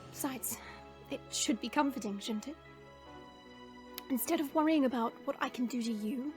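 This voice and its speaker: Woman playing a high-voiced, formal dragon princess. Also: a little mellow, natural voice. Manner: formal, stately phrasing. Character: powerful fire-wielding dragon princess, formal, proud, protective. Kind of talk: audio drama acting.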